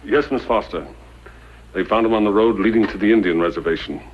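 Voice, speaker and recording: An actor playing a sober frontier official in a 1950s radio western, formal and grave. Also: male voice, fairly low pitch, a little fast, husky. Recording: muffled old radio recording.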